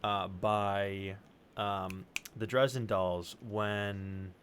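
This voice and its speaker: A man in his thirties chatting casually and enthusiastically, halting now and then to search for words. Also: fairly low pitch, fairly even intonation, a bit bright, slight background noise. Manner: sweary, enthusiastic, halting as he recalls names. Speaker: stream panelist, parent. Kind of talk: casual chat.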